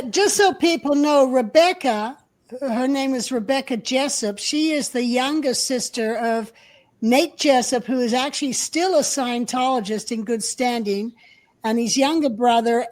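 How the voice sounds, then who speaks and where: A woman with a high-pitched voice speaks over a video call from home. She co-hosts the chat, filling in background details for the listeners in an explanatory way. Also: measured pace, darkish tone. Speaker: co-host. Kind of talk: casual chat. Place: home room.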